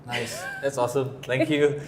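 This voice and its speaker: A man's voice: medium pitch, sing-song, unhurried, background noise. A man hosting an interview, asking questions and giving brief, friendly affirmations. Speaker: interview host.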